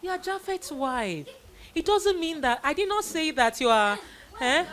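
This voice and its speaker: High, bright-voiced Nigerian woman hosting children. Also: sing-song, a little slow. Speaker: children's Bible story host. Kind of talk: addressing children at a live event.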